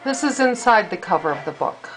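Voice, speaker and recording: An older woman, a grandmother reading a storybook aloud to a child in an expressive, deliberate storytelling manner. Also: mid-range voice, soft background music, low-quality recording.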